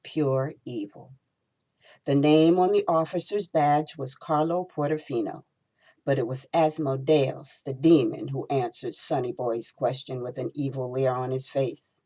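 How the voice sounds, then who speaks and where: A woman reading her own novel aloud in expressive storytelling narration. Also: very deep voice, unhurried.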